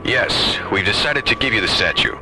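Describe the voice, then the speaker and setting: An actor playing an authoritative starship captain giving orders in a sci-fi radio drama. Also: male voice, on the low side, lively intonation, moderate pace, low-quality recording. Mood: tense, commanding. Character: commanding male starship captain under pressure. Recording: radio drama with loud music, effects.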